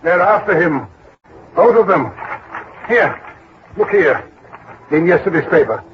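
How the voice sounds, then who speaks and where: An actor playing a fussy, impatient American insurance executive who insists and repeats himself in an old radio drama. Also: male voice, sits high, expressive, unhurried. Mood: anxious, insistent. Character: fussy, impatient, alarmist insurance company executive, adult man. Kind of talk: mid-century radio drama acting. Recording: noisy, muffled old radio broadcast.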